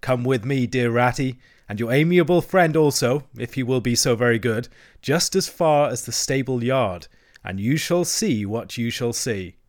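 A man voicing a boastful, excitable male toad in a storybook, with a pompous, theatrical manner. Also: mid-range voice, moderate pace, natural voice. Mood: disdainful, grand. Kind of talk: storybook character acting.

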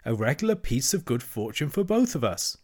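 A man's voice: mid-range voice, sing-song, brisk, a little mellow. A man voicing a hearty, shrewd middle-aged barge woman who speaks politely with a sly edge.

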